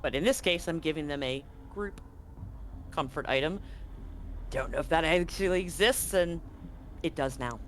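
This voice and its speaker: A man's voice: a little high, moderate pace, pauses often, a bit bright, a little hiss or hum. A man acting as game master, narrating a live tabletop roleplaying game and explaining things to players and audience.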